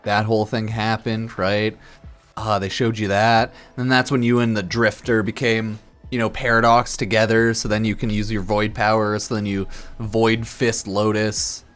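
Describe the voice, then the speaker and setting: A man's voice: lowish voice, level tone, normal speed, fairly bright tone. A slightly drunk man giving casual, rambling game commentary as a streamer, blunt, profane and opinionated. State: a bit drunk. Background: music under the voice.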